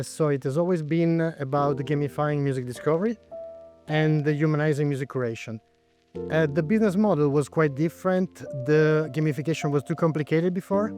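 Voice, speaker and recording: Italian man, 40s, founder guest, fairly high voice, hesitant pauses, light music behind. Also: a little mellow. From Italy. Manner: thoughtful, with frequent hesitations. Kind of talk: interview answers.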